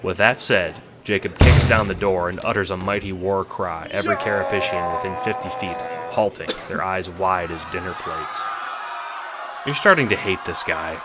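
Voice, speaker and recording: Deep-voiced man narrating a story. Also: very animated, normal speed, heavy background noise. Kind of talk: scripted second-person audiobook narration.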